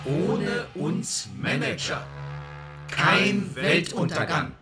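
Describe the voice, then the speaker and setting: An actor playing a brazen, money-minded manager in a satirical radio drama, declamatory and insistent. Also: male voice, fairly high pitch, drawn-out words, loud music behind the voice. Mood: pushy, pompous.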